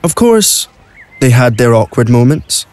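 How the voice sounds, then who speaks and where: A man's voice: mid-range voice, very animated, unhurried, darkish tone. A man narrating a scripted story for an audio play.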